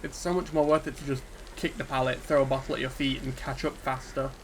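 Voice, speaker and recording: A man giving an unscripted, subdued and hesitant rant about a game, sounding dejected and pausing often on ums. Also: mid-range voice. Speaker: gaming video creator. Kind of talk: unscripted solo rant to camera. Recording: steady background ambience hiss.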